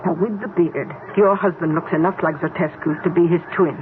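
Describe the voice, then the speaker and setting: An actress playing a brisk, weary woman contact who is trapped abroad, in a 1970s radio drama. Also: lowish voice, fairly even intonation, background noise, close to an everyday voice. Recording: narrow, phone-like old radio broadcast.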